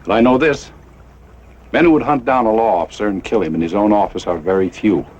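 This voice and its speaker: An American actor playing a grave, resolute father turned lawman in an old western drama, speaking deliberately. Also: on the low side, a little mellow, breathy edge, dull audio. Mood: grim, probing. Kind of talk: mid-century western drama acting. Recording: noisy old western soundtrack.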